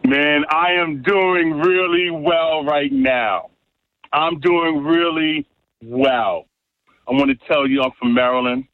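A man with a New York accent calling in to a sports radio show and boasting loudly about his team. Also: on the high side, fairly even intonation, takes it slowly, no echo. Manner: boastful, emphatic trash talk. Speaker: radio caller. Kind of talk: call-in sports rant. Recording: tinny dry phone line.

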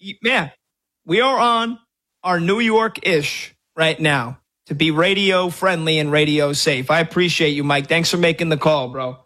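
A male sports radio host speaks in a slightly high, lively voice. He celebrates loudly and throws in shouted catchphrases, with the full clear sound of a studio mic. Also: measured pace.

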